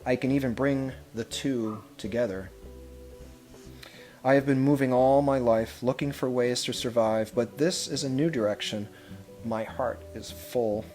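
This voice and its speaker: A man reads a picture book aloud as a school head would to children, with measured, expressive phrasing and pauses. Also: medium pitch, faint music behind. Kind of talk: storybook read-aloud with brief asides.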